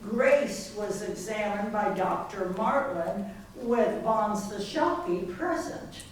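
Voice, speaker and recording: Slow-paced woman narrator, very echoey. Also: medium pitch, expressive. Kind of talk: scripted readers theater narration. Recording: very echoey hall, far mic, faint noise.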